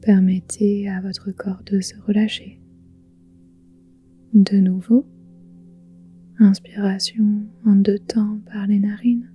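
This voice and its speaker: A woman guiding a relaxation meditation in a soft, breathy, whispery voice, soothing, with gentle pauses. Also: mid-range voice, little pitch movement. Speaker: yoga nidra guide. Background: soft music with singing bowls.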